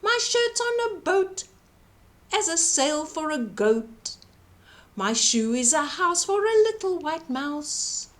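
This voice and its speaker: A woman's voice: high-pitched, very animated, slow, pitched above the performer's own voice, heavily acted. A woman voicing a kindly, proud, cheerful giant man from a children's storybook. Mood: proud singing.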